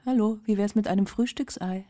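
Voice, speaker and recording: A woman's voice: medium pitch, a little fast, warm tone, natural voice. An actress playing a sceptical, dry female partner in a radio crime drama.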